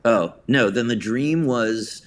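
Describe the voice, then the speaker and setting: A man's voice: mid-range voice, takes it slowly, slight background noise. A man being interviewed, telling his story in long, earnest, reflective and conversational answers. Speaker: interview guest, theater director and writer.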